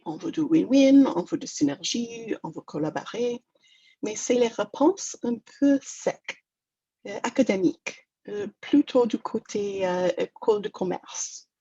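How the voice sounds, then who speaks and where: A woman's voice: on the high side, lively intonation, a little slow, warm tone, breathy edge, lo-fi sound. A woman from Toronto with an English Canadian accent, speaking French as a second language in a webinar, hesitant and self-deprecating with many fillers. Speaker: negotiation trainer. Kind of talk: webinar presentation.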